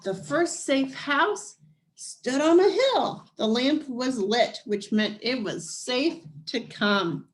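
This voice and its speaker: A woman reading a children's picture book aloud as scripted narration, in deliberate, measured phrases with dramatic pauses. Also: high-pitched, lively intonation, a little hiss or hum, poor audio. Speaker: storybook reader.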